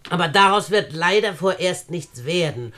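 An actress playing a wealthy, condescending older aunt in a German radio play, speaking standard German in a deliberate, haughty manner. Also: female voice, lowish voice, lively intonation, fairly bright tone. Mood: condescending, bossy. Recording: studio radio drama recording.

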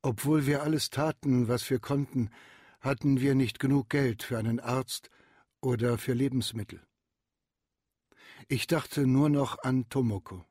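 Husky, sorrowful narrator. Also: male voice, mid-range voice, a little mellow, no echo, studio quality. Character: sorrowful brother recalling his postwar childhood. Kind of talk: radio drama first-person narration.

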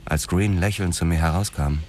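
An actor playing a brooding, haunted police officer who narrates a German noir radio drama in terse, measured phrases. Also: male voice, sits low, noisy background, lightly acted. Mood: brooding, tense. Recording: music under the voice. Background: music.

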